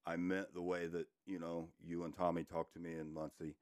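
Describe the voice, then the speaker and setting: Middle-aged man, very low voice, earnest, joking podcast guest, dry studio. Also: level tone, a little fast, clear, resonant tone, dry recording. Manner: earnest, joking, talks in restarts. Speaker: guest, recovery coach. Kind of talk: casual podcast chat. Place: podcast room.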